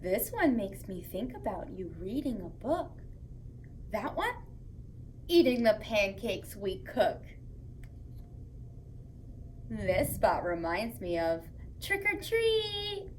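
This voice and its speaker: A woman hosting story time for young children, reading aloud and chatting to them in a playful, expressive way. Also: mid-range voice, slow pace, long pauses, slight background noise.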